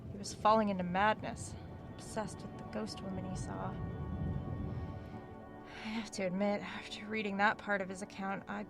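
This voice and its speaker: A woman's voice: mid-range voice, flat delivery, moderate pace, gravelly, long pauses, close to an everyday voice. Female actor as uneasy haunted-house diarist, horror audio drama; medium register, hesitant thinking-aloud delivery over music. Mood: uneasy, reflective. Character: uneasy woman writer investigating a haunted house. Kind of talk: horror audio drama acting, diary narration.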